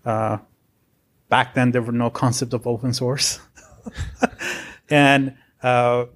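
A man's voice: mid-range voice, flat delivery, takes it slowly. A man in his forties, originally from Iran, telling his career story as a podcast guest in an earnest, rambling way. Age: 40s. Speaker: guest, software architect. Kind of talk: casual interview chat.

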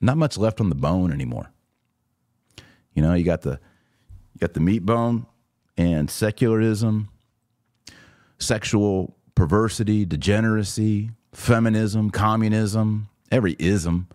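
A man's voice: on the low side, a little slow, pauses often, a little mellow, dry recording, studio quality. An American man in his forties hosting a podcast, chatting casually and speaking straight to his listeners as he answers comments. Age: 40s. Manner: conversational, addresses listeners directly. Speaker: podcast host.

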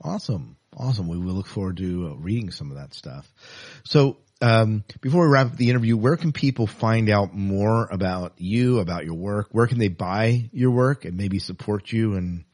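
A man's voice: on the low side, lively intonation, moderate pace, few pauses, darkish tone. A man hosting a podcast interview, chatting informally and often giving short affirmations. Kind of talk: casual interview chat.

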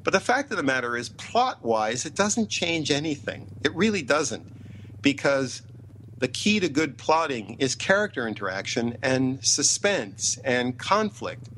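A man's voice: mid-range voice, big pitch swings, a little slow, a bit bright. Emphatic man, noisy recording. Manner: opinionated, emphatic, repeats phrases for emphasis. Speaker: interview guest, TV writer and producer. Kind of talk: interview talk.

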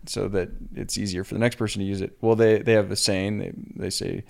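Gravelly-voiced cyclist, relaxed and rambling. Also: male voice, fairly low pitch, a little fast. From US Pacific Northwest. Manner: relaxed, rambling, self-deprecating. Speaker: professional cyclist, guest. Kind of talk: casual podcast conversation.

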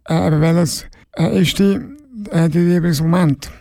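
A man's voice: fairly high pitch, steady tone, measured pace, vocal fry, warm tone. A man asking interview questions on radio, hesitant and searching for his words.

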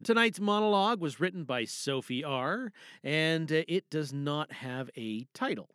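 Bright, animated male podcast host, high-pitched. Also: a little slow, clear, resonant tone, no room sound, studio quality. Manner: showy announcer-like greeting, talks to himself. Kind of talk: enthusiastic podcast introduction, casual and rambling. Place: recording booth.

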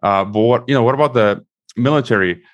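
A man's voice: medium pitch, fast talker, lo-fi sound. A man hosting an interview from a room at home, often repeating words and restarting his sentences. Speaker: interview host. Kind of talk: interview discussion.

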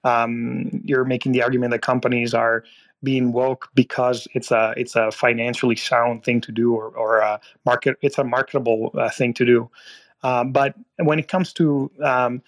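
Man from Italy, caller, halting speech, frequent ums and restarts, medium pitch. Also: little pitch movement. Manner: halting, many fillers and restarts. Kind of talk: casual political discussion.

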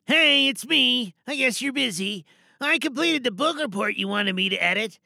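Performer acting out a neglected student, high creaky voice, earnest, hesitant, wounded, dramatic monologue, close dry sound. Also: expressive, moderate pace, bright, forceful tone, no echo, full character voice. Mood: hesitant, hurt. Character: hurt, neglected student partner leaving a phone message.

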